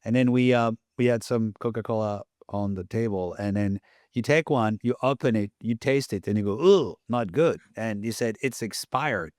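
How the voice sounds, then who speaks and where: A man's voice: lowish voice, moderate pace, a little mellow, no room sound, clean studio sound. A man hosting a podcast interview, chatty and prone to stumbling restarts as he asks questions and tells anecdotes. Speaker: podcast host.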